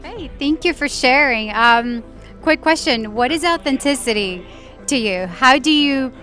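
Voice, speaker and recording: A woman with a high, bright voice hosts a casual interview. She is encouraging and appreciative as she talks with her guest. Faint music sits behind her. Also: animated, measured pace. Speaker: interviewer, host.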